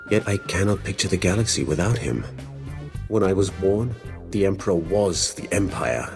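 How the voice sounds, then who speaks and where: A deep-voiced, ancient, grim Sith lord. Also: male voice, exaggerated voice. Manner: formal, solemn, disdainful. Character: ancient, grim, proud Sith lord, three centuries old. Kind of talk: dramatic video game voice acting.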